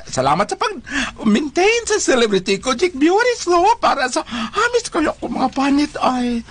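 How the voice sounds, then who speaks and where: A man's voice: very high voice, big pitch swings, measured pace, fairly bright tone. A man with a Cebuano Filipino accent co-hosting a radio show, giving casual chat and sponsor greetings.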